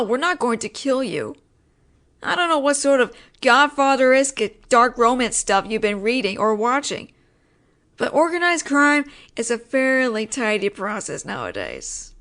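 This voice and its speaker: Woman playing an exasperated, high-voiced female mafia boss. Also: fairly bright tone. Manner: wry, sighing, slangy wiseguy talk. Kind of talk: roleplay audio drama monologue.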